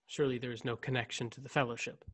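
A man's voice: medium pitch, little pitch movement, fairly bright tone, close to an everyday voice. A man in his thirties playing a brooding, stiffly formal clone in a science-fiction radio play. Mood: serious. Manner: measured, stiffly formal diction. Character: brooding, formal young man, secret clone of noble family. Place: home room on video call. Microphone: desk condenser microphone, headset.

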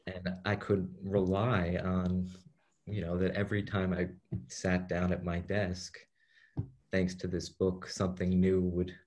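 A middle-aged man speaking as a translator over a video call. His voice is very low. He talks casually and haltingly, restarting his sentences and filling pauses with ums. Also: completely flat delivery, a little mellow, slight background noise. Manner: hesitant, many fillers and restarts. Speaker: translator guest. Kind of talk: casual conversational talk. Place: room at home with bookshelves.

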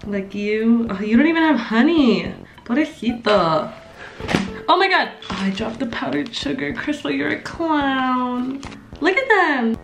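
A woman's voice: sits high, expressive, a little slow, creaky, echoey room, soft background music. A young woman chatting casually and mocking herself as she cooks in a small home kitchen, filmed by a camera on the counter. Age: young adult. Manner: bubbly, chatty, self-mocking. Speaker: vlogger. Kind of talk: casual cooking vlog.

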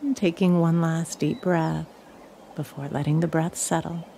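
A woman leading a guided meditation in a calm, soothing, measured way, leaving long pauses. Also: deep voice, gravelly, a little hiss or hum.

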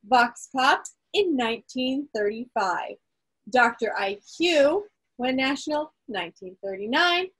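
A woman hosting a quiz on a group video call, reading out results to the group with deliberate emphasis. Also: high voice, husky, low-quality recording. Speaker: quiz host at a club meeting.

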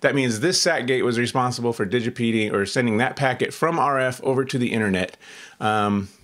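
A man in his fifties hosting a casual, relaxed tutorial from a small home radio room, chatting and thinking aloud as he demos on screen. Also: mid-range voice, a little fast. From Oregon, US. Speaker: amateur radio channel host.

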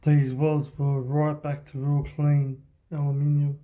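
A man, talking casually and haltingly about his workshop repair, with many pauses and ums, filmed close up on a handheld phone in an indoor workshop. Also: fairly high pitch, monotone, lo-fi sound. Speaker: hobby boat mechanic. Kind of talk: casual workshop show-and-tell. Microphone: handheld phone camera, close-up.

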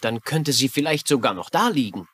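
An actor playing a persistent, polite investigator in a dubbed crime drama, asking probing questions. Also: male voice, mid-range voice, expressive, fairly quick, a bit bright, breathy edge. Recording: faint noise under dialogue.

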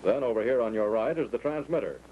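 An American man speaks as a flight instructor in a wartime-era training film, at an average male pitch. His delivery is scripted and orderly, taking each step in turn. The old 1940s soundtrack is dull and plainly noisy. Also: quick pace.

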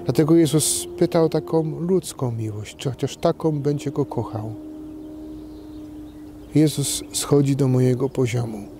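A man in his forties, a priest, delivering a short homily in a measured, deliberate way with pauses. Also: mid-range voice. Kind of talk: short scripted homily to camera. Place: outdoors in a park. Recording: outdoor background noise.

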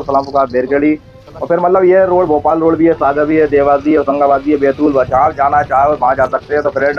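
Man, central Indian accent, rapid chatty motovlog commentary, fairly even intonation, repetitive, friendly address to viewers. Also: medium pitch, highs cut off, a little hiss or hum. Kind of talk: casual running vlog commentary while riding. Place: outdoors on a motorbike on a road.

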